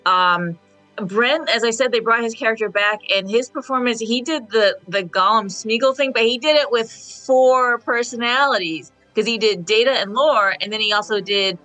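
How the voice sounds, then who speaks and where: A woman's voice: on the high side, moderate pace, pushed and bright, no echo, faint music behind, low-quality recording. A woman speaking as a panelist in a casual roundtable discussion.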